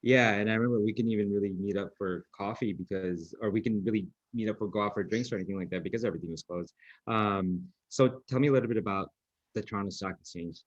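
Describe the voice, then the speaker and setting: A man's voice: fairly low pitch, fast talker, no echo, dull audio. A man hosting a podcast, interviewing a guest in a friendly, casual way with plenty of fillers. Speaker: podcast host.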